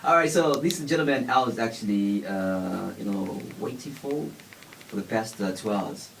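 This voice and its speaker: A man's voice: on the low side, normal speed, creaky, a bit bright. A man hosting a casual radio interview, rambling and laughing often. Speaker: radio host. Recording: distant mic with faint background noise.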